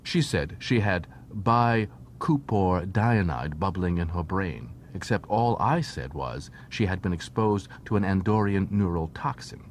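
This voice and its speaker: A man's voice: animated, a little slow, few pauses. A man voicing an eager young doctor who lectures pedantically and with animation in an audiobook. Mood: confiding. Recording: noisy track with low rumble.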